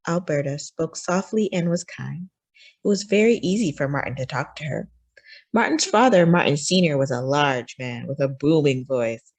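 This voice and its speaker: A woman reading a children's picture book aloud as a story time host, with expressive, deliberate phrasing for young listeners. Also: fairly low pitch.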